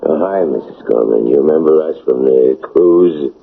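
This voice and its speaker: A scheming, menacing drug smuggler in a radio play. His voice is rock-bottom low and full of gravel, and he talks in slangy, brash bursts. The old broadcast sounds muffled. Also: normal speed, noisy background. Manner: slangy, brash street-tough talk. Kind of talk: radio drama acting.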